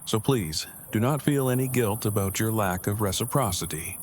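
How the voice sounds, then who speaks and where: Audio drama role: man acting as awkward, verbose, earnest male survivor. Low pitch, formal precise delivery, noisy cricket-filled night backdrop. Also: normal speed, natural voice. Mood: earnest, humble. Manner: stiffly formal, long-winded, precise wording.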